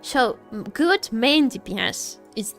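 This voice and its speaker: A woman livestreamer chatting casually with viewers about games, halting and jokey, often repeating herself. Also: fairly high pitch, animated, creaky, fairly bright tone. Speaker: livestreamer, gaming content creator. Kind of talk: casual live gaming commentary, chatting with viewers. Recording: faint music behind the voice. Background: faint music.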